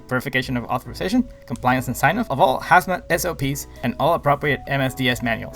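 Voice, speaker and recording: A man's voice: medium pitch, a bit bright, natural voice. A male cruise-ship activities director acted by a man, in a comic audio play. He is long-winded and officious, rattling through details at speed over faint music. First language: Spanish. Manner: rambling, rattles off long lists. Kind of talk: comic audio drama acting. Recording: music playing behind the voice.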